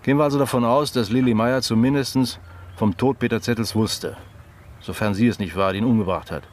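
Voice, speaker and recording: Actor as sceptical, probing man, medium pitch. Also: fairly even intonation, fairly quick, a little hiss or hum, lightly acted. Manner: questioning, precise. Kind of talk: radio play acting.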